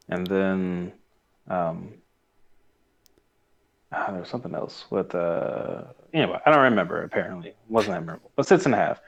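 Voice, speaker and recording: A man's voice: lowish voice, darkish tone. A man co-hosting a podcast, giving his review casually and hesitantly as he thinks aloud, on muffled audio. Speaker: podcast host.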